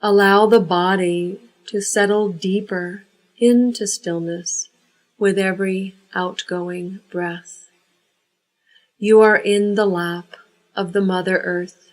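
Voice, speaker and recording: A woman leading a guided yoga nidra relaxation, giving unhurried, measured instructions. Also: mid-range voice, fairly even intonation, low-quality recording.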